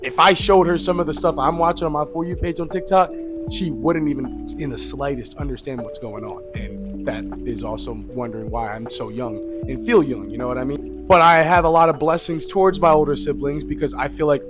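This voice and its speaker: Young man, twenties, casual filler-heavy ranting, loud and exasperated when riled, over clear background music. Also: on the high side, brisk. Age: 20s. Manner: rambling, filler-heavy, says "like" constantly. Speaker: youth coach and vlogger. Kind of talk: casual rant to camera.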